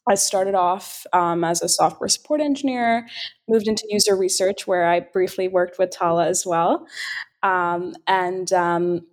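A woman's voice: lowish voice, fairly even intonation, measured pace. A woman in her twenties introducing herself in a chatty, self-deprecating way with many fillers. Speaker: team member introducing herself. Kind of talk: casual chat.

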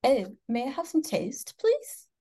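A woman's voice: high voice, wildly swooping pitch, measured pace, warm tone, husky, pitched above the performer's own voice, heavily acted. An American woman putting on a polite, posh English accent, carefully enunciating simple requests. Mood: prim.

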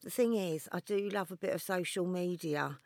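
A woman guest, a DJ and model, talking in a laid-back, giggly way with many pauses and fillers. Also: lowish voice, creaky, a little mellow, no echo, clean studio sound. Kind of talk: casual chat interview.

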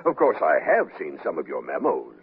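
A man's voice: extremely low pitch, huge pitch swings, normal speed, full character voice. A man acting in a radio comedy as a mild, wry company boss, speaking a little hesitantly. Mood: wry, placating. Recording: noisy, tinny old radio broadcast.

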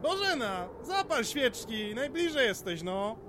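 A teasing middle-aged dad, high voice, slow delivery, exclamatory, folksy, audio drama. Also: male voice, sing-song, noisy background, exaggerated voice. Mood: alarmed. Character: hearty, gruffly teasing father at a family party. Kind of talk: Polish audio drama dialogue.

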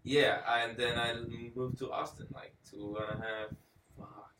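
A man's voice: medium pitch, fairly even intonation, moderate pace, sharp tone, recorded from a distance, a little hiss or hum. A man from Venezuela, a co-host, chatting casually and cracking jokes. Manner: joking, playful interjections. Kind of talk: casual chat. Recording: roomy sound from off mic.

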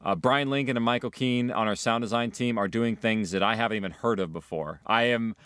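A man's voice: mid-range voice, fairly quick, a bit bright, clear, resonant tone, no echo. A man speaking as an audio drama creator in a documentary interview. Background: music.

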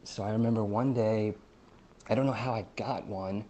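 Mellow low man telling an anecdote. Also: fairly even intonation, moderate pace, a little hiss or hum. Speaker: guest. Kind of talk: casual personal storytelling.

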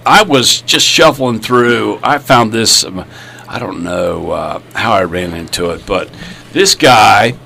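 A man's voice: lowish voice, expressive, slow pace, vocal fry, bright, forceful tone, slight background noise. A man hosting a casual podcast chat, rambling and joking with lots of fillers. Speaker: podcast host.

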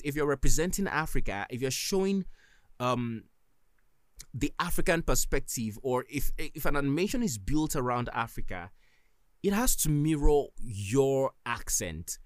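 A man's voice: medium pitch, dry recording, studio quality. A man with a Nigerian accent, hosting a solo podcast and giving earnest, emphatic advice. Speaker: podcast host.

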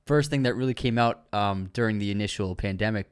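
A man co-hosting a movie news podcast, chatting in a relaxed, easygoing way and agreeing readily. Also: on the low side, fairly quick, smooth, no echo, studio quality. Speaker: podcast co-host. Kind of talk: casual chat about movie news.

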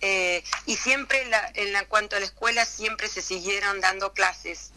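A woman with an Argentine Spanish accent answers questions in an easy, chatty way. Her voice is very bright and bright-edged. She often hesitates, trailing off and starting again. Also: medium pitch, a little slow. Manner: hesitant, many fillers and restarts. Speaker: interview guest. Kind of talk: casual conversational interview.

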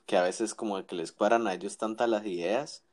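A man's voice: on the low side, fairly even intonation, normal speed, darkish tone. A man co-hosting a casual wrestling chat, giving opinions with frequent restarts and tag questions. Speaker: wrestling podcast co-host.

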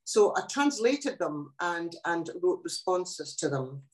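An older woman, a poet, talking about her life in a relaxed interview, thoughtful and pausing often. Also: lowish voice. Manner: reflective, thoughtful, with many pauses and restarts. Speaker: guest poet. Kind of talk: casual interview chat. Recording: echoey room.

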